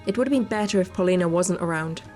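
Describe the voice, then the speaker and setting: A woman's voice: fairly low pitch, fast, a little mellow. A woman streaming a video game, reading the story text expressively and adding teasing, coarse-mouthed asides. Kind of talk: reading visual novel text aloud with joking commentary. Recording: music under the voice. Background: game music.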